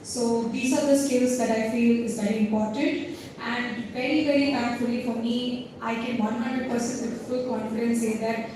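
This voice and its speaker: High-voiced woman in an echoey hall. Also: fairly even intonation, moderate pace. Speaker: alumni panelist, lawyer. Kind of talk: explanatory panel talk. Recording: very echoey hall, distant, noisy.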